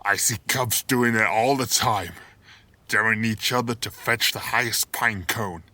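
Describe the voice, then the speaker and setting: A man's voice: on the low side, a little slow, sharp tone, no echo. A man voicing a stubborn, hungry and vengeful predator animal in a dramatic comic dub. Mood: impatient, hungry. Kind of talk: dramatic comic fandub acting.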